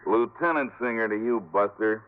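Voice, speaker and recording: An actor playing a friendly, wisecracking tough police lieutenant with a teasing, blustery manner in 1950s radio drama style. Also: male voice, on the low side, moderate pace, natural voice. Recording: tinny band-limited old radio recording.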